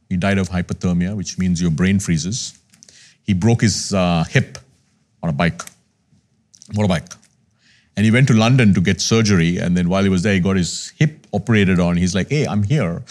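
Deep-voiced Sri Lankan-born man in his fifties. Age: 50s. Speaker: podcast guest, investor. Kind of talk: candid conversational storytelling.

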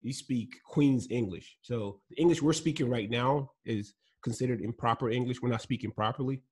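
A man's voice: mid-range voice, normal speed, a little mellow, husky. A man from Nigeria whose first language is Igbo, telling his story as a guest in an earnest, easygoing way and laughing between points. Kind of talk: casual interview talk.

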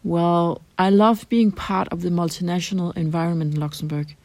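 A middle-aged Danish woman with a Danish accent, answering interview questions in a measured way with frequent ums and restarts. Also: fairly low pitch, fairly even intonation, a little mellow, no room sound. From Denmark. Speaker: translator interviewee.

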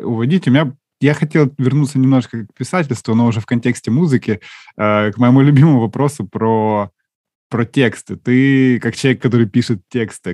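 A man hosting a podcast, asking questions in a relaxed way and laughing along with his guest. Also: fairly low pitch, no echo. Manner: relaxed, laughs and hums agreement often. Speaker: podcast host. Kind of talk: casual podcast chat.

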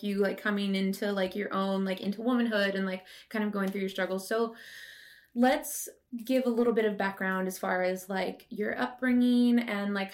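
Encouraging woman hosting a casual interview. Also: medium pitch. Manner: informal, encouraging, reassuring. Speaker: podcast host interviewer.

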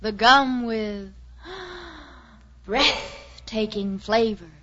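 A woman delivering a breathy slogan in a mid-century radio commercial. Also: a little high, lively intonation, very slow. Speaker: commercial jingle voice. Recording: muffled old radio recording.

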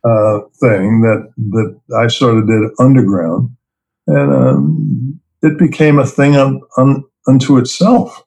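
A man's voice: medium pitch, fairly even intonation, takes it slowly, a little mellow, poor audio. Chuckling middle-aged man telling stories. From Princeton, New Jersey, US. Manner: rambling, chuckling, self-deprecating. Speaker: interview guest, theme park event writer and director. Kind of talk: casual storytelling interview.

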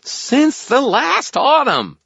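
Excitable, high-pitched tiny fairy. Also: male voice, slow, creaky, husky, no echo, lo-fi sound, exaggerated voice. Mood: impatient. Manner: shrill, exclamatory, impetuous. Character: excitable tiny male fairy, impatient and pizza-obsessed. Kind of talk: audiobook character acting.

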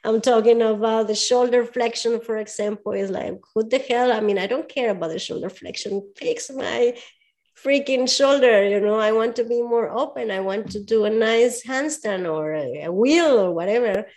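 A woman with a Spanish accent, a native Spanish speaker, talking as a movement coach in a casual interview. She speaks earnestly and often pauses to search for her words. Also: fairly high pitch, darkish tone.

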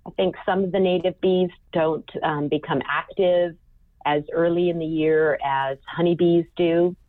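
A woman's voice: fairly low pitch, fairly even intonation, unhurried, dry recording. A woman from California, a guest scientist, explaining carefully with frequent ums in a podcast interview. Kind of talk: podcast interview over phone line. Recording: tinny phone line.

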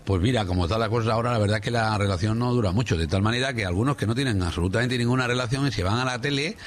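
Spanish guy from spain, husky n a bit low, rambly jokey radio chat. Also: very fast. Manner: rambling, repeats himself, seeks agreement with tag questions. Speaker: radio show contributor. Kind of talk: casual humorous radio chat.

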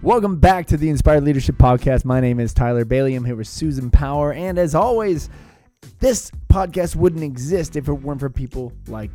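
A man's voice: fairly high pitch, lively intonation, fairly quick, mellow, soft background music. A man hosting a podcast, upbeat and welcoming as he opens the show and introduces a guest. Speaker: podcast host.

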